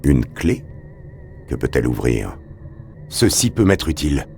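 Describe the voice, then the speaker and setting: A man playing a grave adventurer hunting demons in a video game, speaking with formal, deliberate, theatrical diction. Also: rumbling low, big pitch swings, vocal fry, a little mellow, a little hiss or hum, heavily acted. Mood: measured, observant. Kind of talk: video game voice acting, narrated observations.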